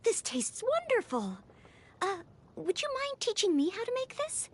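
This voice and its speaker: A woman's voice: extremely high pitch, sing-song, measured pace, full character voice. A woman voicing a polite, earnest young maid and aspiring knight in a video game, neatly enunciated and eager to serve. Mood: admiring, flustered. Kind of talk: scripted video game character voice lines.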